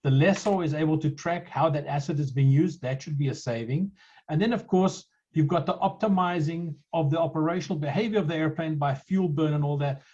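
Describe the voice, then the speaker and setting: A man's voice: fairly high pitch, fairly quick, mellow, muffled recording. A middle-aged South African man hosting a conversational interview, telling stories with frequent restarts. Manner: chatty, storytelling, frequent restarts. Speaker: podcast host.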